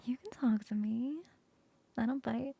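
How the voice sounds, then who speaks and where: A woman voicing a flirty female coworker in an ASMR roleplay, close to the mic in a soft, playful whisper. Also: a little high, measured pace, vocal fry, breathy edge, no echo, exaggerated voice. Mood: teasing whisper.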